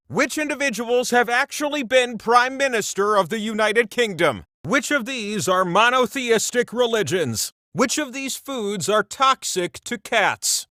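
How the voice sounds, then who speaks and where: Pompous wheel god, high-pitched, very animated, wry quiz game host, theatrical, mock-solemn, video game character. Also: male voice, no echo, studio quality, heavily acted. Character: pompous, theatrical omnipotent wheel deity on a mountaintop. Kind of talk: theatrical game show hosting.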